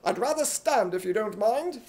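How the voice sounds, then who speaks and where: Man as an excitable, high-voiced British hero. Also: normal speed. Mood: earnest, excitable. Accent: imitation British. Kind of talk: radio comedy acting.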